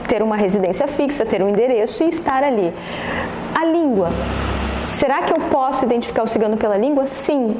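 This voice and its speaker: High-voiced southern Brazilian woman in echoey room. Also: warm tone. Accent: southern Brazilian, Rio Grande do Sul. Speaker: historian and author being interviewed. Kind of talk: explanatory interview talk. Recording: echoey room with faint noise.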